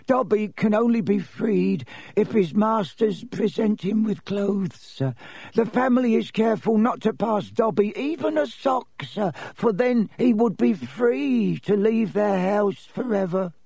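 A man's voice: high voice, lively intonation, measured pace, creaky, mellow, husky, no echo, pitched above the performer's own voice, heavily acted. A man voicing a small, servile, fearful house elf in a storybook, pleading and obsequious, speaking of himself in the third person. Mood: meek, deferential.

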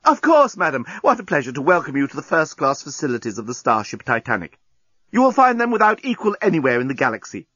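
A man putting on a fussy robot desk clerk with a high, strangled, artificial-sounding voice that swings between prim refusal and grovelling. The reading is unhurried and slightly husky, in a dull, dry recording. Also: sing-song, a bit bright, dry recording, heavily acted. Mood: fawning. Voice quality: simulated strangled voice.

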